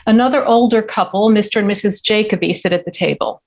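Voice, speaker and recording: Mid-pitched woman reading stage directions. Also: fast talker, a little mellow. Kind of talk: scripted narration at a table read.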